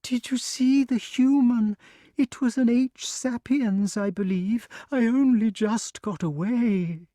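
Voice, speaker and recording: A man's voice: extremely high pitch, slow pace, no room sound, voice pushed up. A man doing a fluttery, frightened grass snake. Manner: fluttery, excited, prim. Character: timid fussy grass snake, male, easily frightened.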